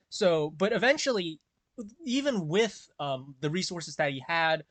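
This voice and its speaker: An earnest young man with an American accent talks casually, wandering off and restarting his sentences often. His voice sits a little high for a man. The recording is dry, with no room sound. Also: a bit bright, no room sound. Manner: rambling, many restarts and fillers, earnest. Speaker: video creator. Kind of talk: casual rambling commentary.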